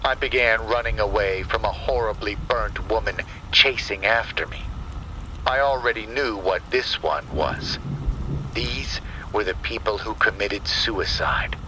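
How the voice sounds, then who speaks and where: A man narrating a scripted first-person horror story in a deliberate, dramatic manner. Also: lowish voice, sharp tone, a little hiss or hum, low-quality recording. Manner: slow, deliberate, dramatic delivery. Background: rain and thunder sound effects.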